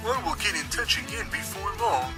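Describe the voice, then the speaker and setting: Courteous male client for a manga dub, played by a man: higher pitch, formal phrasing, lively intonation, music underneath. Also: moderate pace. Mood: pleased, courteous. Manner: polite, formal. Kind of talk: scripted manga dub acting. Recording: music behind the voice.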